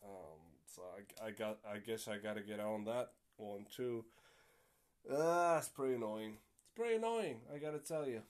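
A man's voice: lowish voice, animated, moderate pace. Rambling young male podcast host. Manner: rambling, swears casually, many fillers. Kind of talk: casual solo podcast chat.

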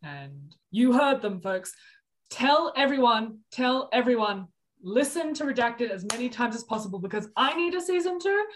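A woman's voice: on the high side, sing-song, moderate pace, a little hiss or hum. A woman co-hosting a podcast, chatting casually in an effusive, jokey manner. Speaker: podcast co-host.

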